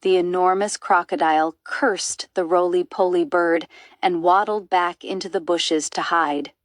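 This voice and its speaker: A woman's voice: on the low side, fairly even intonation, unhurried, no echo, clean studio sound. A woman narrating a children's storybook as an audiobook reader.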